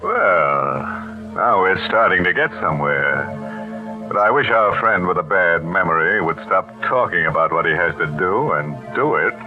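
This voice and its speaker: Deep ghoulish radio horror host. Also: male voice, animated, moderate pace. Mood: macabre, teasing. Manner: mock-sinister, teasing. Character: ghoulish male horror host, macabre and gleefully punning. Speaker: horror show host and narrator. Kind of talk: 1940s radio horror hosting. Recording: old muffled radio broadcast, music behind.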